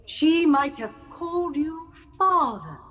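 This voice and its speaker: A stern ghostly spirit in a radio play, in a long cavernous reverb. The voice is fairly high and extremely slow, each phrase solemn and portentous. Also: female voice, expressive, a bit bright, dull audio, heavily acted. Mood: stern, relentless. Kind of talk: theatrical audio drama acting.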